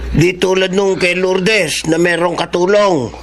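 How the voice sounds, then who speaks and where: Excuse-making father, reluctant, slightly high male voice, radio drama delivery. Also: fairly even intonation, unhurried. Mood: reluctant, excusing. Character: reluctant family man with five children, making excuses. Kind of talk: Tagalog radio drama acting.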